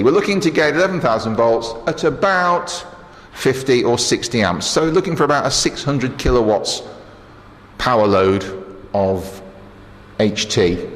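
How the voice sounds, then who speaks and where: A man's voice: fairly low pitch, rough recording. A man giving a casual technical tour as an engineer, explaining equipment as he operates it in a plain-spoken, matter-of-fact way with dry asides. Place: indoors in a transmitter hall. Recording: clear machinery and air-cooling noise.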